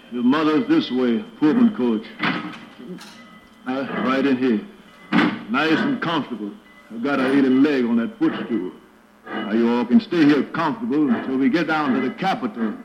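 Actor as a folksy train conductor, echoey old radio. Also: male voice, medium pitch, a little slow, long pauses, close to an everyday voice. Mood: brisk, courteous. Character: train conductor, courteous then gruff enforcer of segregation. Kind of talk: 1940s radio drama acting. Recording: echoey, muffled, noisy old radio recording.

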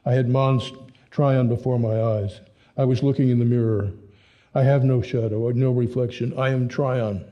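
Ashamed middle-aged man, read by a male narrator, halting and stammering, low-key, lo-fi. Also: fairly even intonation, close to an everyday voice. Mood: despairing.

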